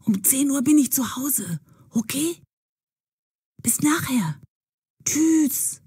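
A woman's voice: high voice, animated, takes it slowly, mellow, no echo, clean studio sound. A woman playing an eager, polite girl in a scripted audio story, speaking expressively. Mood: hushed, evasive.

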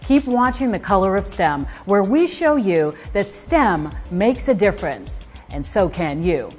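A woman's voice: mid-range voice, sing-song, unhurried, a little mellow, soft background music. A middle-aged woman hosting a TV show, presenting scripted lines in a polished way from a studio. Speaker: host.

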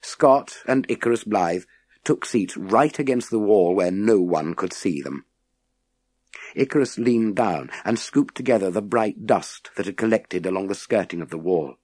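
A man narrates a story with dramatic expression. His voice is a little low and slightly husky. He takes his time over the scripted lines, and the sound is close and dry. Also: expressive, unhurried, darkish tone, no echo. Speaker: audiobook narrator.

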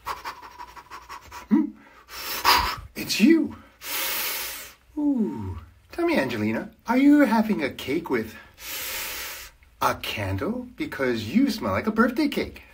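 An actor voicing a big, friendly, gentle bear from a children's show, playful and affectionate, speaking in an exaggerated sing-song way to a child. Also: mid-range voice, huge pitch swings, slow, long pauses, slightly rough, heavily acted. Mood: playful, curious. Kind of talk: children's show character giving a personal birthday greeting.

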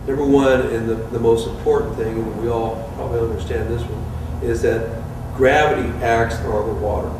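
A man in his fifties, a sports turf manager, giving an informal, halting technical explanation to camera. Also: mid-range voice, fairly even intonation. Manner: halting, hesitant delivery. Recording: distant, roomy sound with clear background noise.

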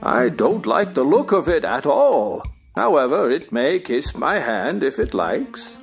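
A fussy, pompous king, acted by a woman in an audio play. The voice is lowish and creaky, with huge swoops and a slow, huffy delivery. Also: very animated, soft background music, exaggerated voice. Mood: haughty displeasure.

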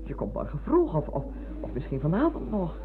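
A woman's voice: deep voice, wildly swooping pitch, fairly quick. An actress playing a talkative, insistent older former schoolmistress with a motherly way, in a radio drama. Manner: effusive, insistent, hesitating. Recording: muffled, hissy old radio recording.

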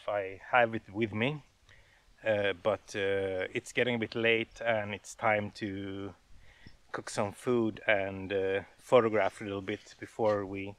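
A man in his thirties vlogging outdoors by a forest lake, talking into a camera held at arm's length, relaxed and hesitant with many fillers. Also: fairly low pitch, no echo. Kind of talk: casual outdoor vlog.

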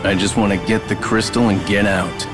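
A man playing a cold, stoic young lone-wolf warrior in a video game, terse, aloof and deadpan. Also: on the low side, moderate pace, close to an everyday voice. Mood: curt resolve. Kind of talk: video game cutscene acting. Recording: loud music behind the voice. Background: game music.

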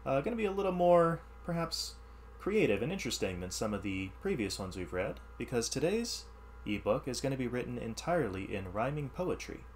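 A man teaching through an expressive read-aloud of rhyming science verse, with explanatory asides in an instructional manner. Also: medium pitch, fairly quick, slight background noise. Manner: animated, instructional.

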